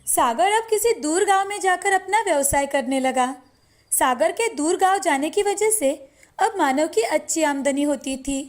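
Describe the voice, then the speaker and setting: A woman narrating a moral cartoon story in a steady, scripted storytelling manner. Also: high voice.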